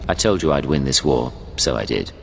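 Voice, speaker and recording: Male spy lead for a game: suave, swinging intonation, gravel, cocky charm, reverberant sound. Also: animated, fairly quick, exaggerated voice. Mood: smug, flirtatious. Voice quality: deep, gravelly. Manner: smooth, self-assured. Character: suave, cocky, flirtatious male Imperial secret agent. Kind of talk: dramatic video game acting. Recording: long reverb under music.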